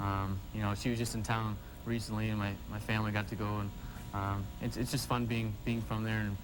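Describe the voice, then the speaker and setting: A man's voice: lowish voice, level tone, brisk, background noise. A man from Ontario, Canada, a hockey captain giving casual, modest interview answers with hesitant repeats. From Timmins, Ontario, Canada.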